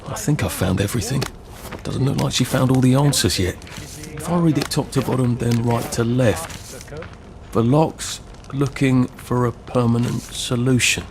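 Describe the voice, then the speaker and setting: A man's voice: mid-range voice, normal speed, noisy background, lightly acted. An actor playing a mild, curious young Englishman in a video game, muttering hesitantly and wryly to himself with an English accent. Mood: wry musing.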